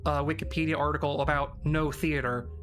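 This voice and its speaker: A man's voice: a little high, fairly even intonation, a little fast, a bit bright, faint music behind. A man in his thirties chatting casually on a live tabletop game stream, rambling and poking fun at himself. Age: 30s. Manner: rambling, self-mocking. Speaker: player.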